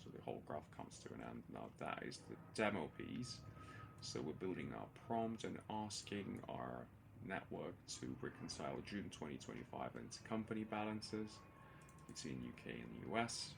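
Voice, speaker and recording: A man presenting a software demo in an unscripted screen-share tutorial style, explaining in a hesitant, halting way with many filled pauses. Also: sits low, big pitch swings, gravelly, noisy background.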